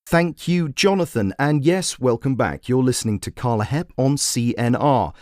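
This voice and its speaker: A man voicing a brisk female radio phone-in host who questions and persuades in a measured way. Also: medium pitch, animated, darkish tone, no room sound, clean studio sound, natural voice. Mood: brisk, professional. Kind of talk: talk radio presenting.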